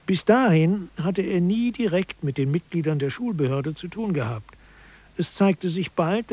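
Male narrator, slightly high and husky. Scripted satirical feature, read evenly and factually. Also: dark tone.

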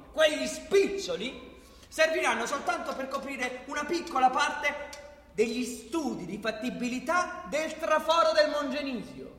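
A man's voice: extremely high pitch, pushed and bright, a little hiss or hum. A man narrating a polemical radio play in an emphatic, declamatory, theatrical style. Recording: echoey hall with music.

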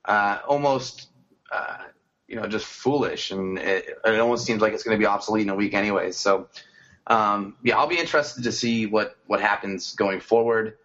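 A man's voice: on the low side, moderate pace, a bit bright. Casual man co-hosting a lo-fi podcast. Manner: conversational, frequent fillers and restarts. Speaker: podcast co-host. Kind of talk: casual sports chat. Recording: dull lo-fi podcast audio.

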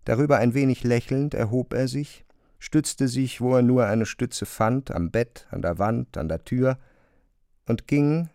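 A man narrating a literary radio drama in measured, deliberate phrases. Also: medium pitch, mellow, clear, resonant tone, no room sound, clean studio sound.

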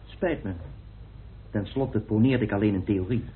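An actor playing a guarded murder suspect in a radio play, answering tersely and coolly denying everything. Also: male voice, lowish voice, moderate pace, close to an everyday voice. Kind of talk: radio play acting in Dutch. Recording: dull, noisy old radio recording.

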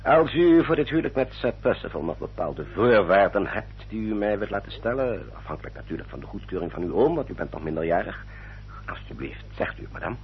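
Formal English solicitor, low lively intonation, measured explanations, courteous, radio drama. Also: male voice, vocal fry, a little hiss or hum. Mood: politely pressing. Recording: dull, muffled old radio recording.

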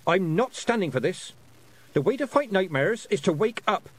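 A man's voice: on the high side, very animated, moderate pace, pitched above the performer's own voice. A man voicing a sensible, bossy young girl in a storybook reading, speaking with determination. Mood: commanding.

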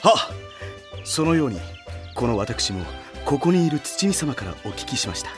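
A woman's voice: very deep voice, very animated, moderate pace, over music. A woman playing a devoted, deferential servant with formal, dramatic speech in an anime audio drama. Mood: dutiful.

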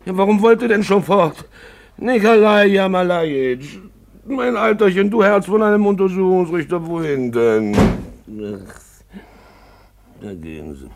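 An actor playing a boozy, jovial, lecherous gentleman in a 1950s German radio drama, gravelly and theatrical, going from grumbling mumbles to loud wheedling cries. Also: sits high, lively intonation, unhurried, a little hiss or hum. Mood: exuberant, pleading. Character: boozy, roguish Russian gentleman found alive, jovial lecher.

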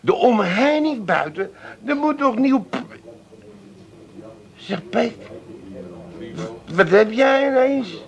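An actor playing a jovial, crafty drinking pal, chatty and casually bossy, in a comedic radio play. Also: male voice, very high-pitched, lively intonation, slow pace, gravelly, pauses often, heavily acted. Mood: breezily instructing. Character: jovial, crafty pub mate of the schemer.

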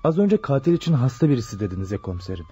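An actor playing an eager, deferential junior police detective in a radio drama. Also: male voice, mid-range voice, very animated, rapid-fire, dark tone, husky. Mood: eager, questioning. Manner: deferential, questioning. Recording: dull, noisy radio drama recording.

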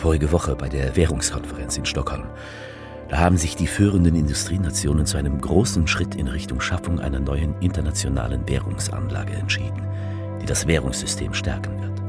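An actor playing a grave, earnest statesman giving a theatrical political address in a measured, confiding manner. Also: a bass voice.

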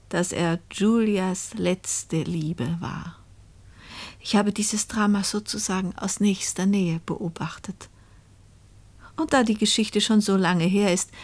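Slow, confiding woman narrator. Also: on the low side, a little mellow. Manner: deliberate, confiding, measured. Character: reflective woman narrator recalling an old murder case. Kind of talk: German radio play narration.

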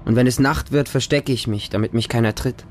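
A man's voice: medium pitch, fairly even intonation, fairly quick, natural voice. A man playing a lonely, naive small homeless boy who narrates his life in a radio drama in short, plain sentences. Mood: lonely, wary.

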